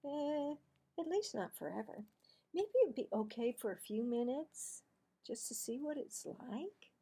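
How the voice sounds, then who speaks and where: A woman's voice: on the high side, animated, unhurried, dark tone, breathy edge, voice pushed up, exaggerated voice. A woman voicing a young schoolboy in a storybook, an earnest and emphatic little brother who is easily exasperated. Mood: puzzled.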